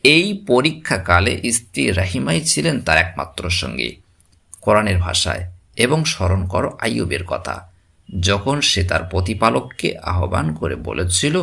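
Male religious storyteller. Gravelly tone, widely swinging intonation, solemn scripted preaching. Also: on the low side, pushed and bright. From West Bengal, India. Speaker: narrator.

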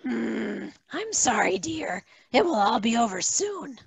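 A woman acts out a patient cartoon mother with a high, gravelly voice. She speaks unhurriedly and soothingly in a table read over a video call. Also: very animated, slow, heavily acted. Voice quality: creaky.

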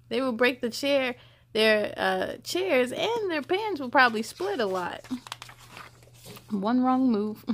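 A young woman reads a children's picture book aloud in a high, very lively voice that swoops up and down. She takes her time, stretching the important words, and drops in chatty comments for young listeners. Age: young adult. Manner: animated, drawn-out emphasis on rhymes. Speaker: children's story time host.

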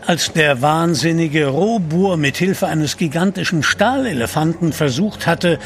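A man narrating an adventure audio drama from a script. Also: a little high.

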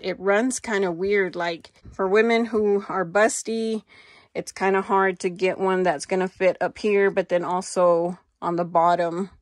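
A woman's voice: medium pitch, no room sound, clean studio sound. A middle-aged woman with an American accent, chatting casually to viewers as a fashion vlogger in an unscripted way. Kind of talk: casual haul and try-on chat. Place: small indoor walk-in closet. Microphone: handheld phone.

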